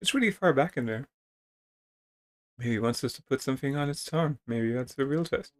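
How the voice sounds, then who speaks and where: A man's voice: medium pitch, a little fast. A man reading a text adventure game aloud as a dramatic reading, with casual asides. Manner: expressive, acting out dialogue.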